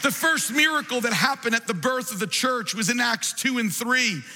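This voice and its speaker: Fired-up preacher guy, voice rising to shouts, long church-hall reverb. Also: very high voice, moderate pace, background noise. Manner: impassioned preaching that swells to shouts. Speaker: pastor. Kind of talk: sermon. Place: large church.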